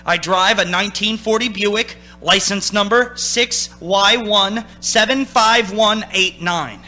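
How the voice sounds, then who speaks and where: Actor playing an anxious, high-pitched haunted man. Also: slow pace, sharp tone, soft background music, exaggerated voice. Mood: desperate, urgent. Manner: urgent, dramatic first-person narrating. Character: anxious, haunted man of thirty-six recounting his road trip. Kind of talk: old-time radio suspense acting.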